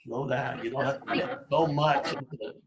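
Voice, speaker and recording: Husky-voiced man in relaxed podcast chat. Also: mid-range voice, lo-fi sound. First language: English. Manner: halting, many restarts and fillers. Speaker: podcast host. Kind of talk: casual conversation.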